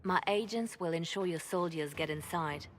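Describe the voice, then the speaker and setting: A woman's voice: lowish voice, normal speed, a little hiss or hum, close to an everyday voice. An actress playing a cool, secretive woman spymaster in a video game, controlled, terse and serious. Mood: cool, businesslike.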